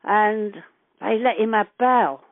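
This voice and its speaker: A woman's voice: medium pitch, takes it slowly, no room sound. An older woman reminisces casually over the phone, chatty, earthy and blunt, with casual swearing. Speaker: interviewee recalling past events. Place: on a phone call. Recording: narrowband telephone call, dry.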